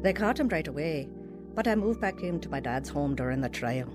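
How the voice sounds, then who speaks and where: A woman's voice: sits low, expressive, brisk. Young mother in an audio drama, troubled, recounting hardship over prominent music. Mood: sorrowful, reflective. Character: troubled young mother telling her painful life story. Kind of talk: dramatised first-person narration, radio drama.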